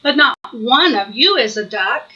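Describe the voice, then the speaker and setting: A woman's voice: a little high, expressive, unhurried, sharp tone, dull audio. A woman voicing a silly, gleeful male puddle duck from a storybook, playfully honking and clucking.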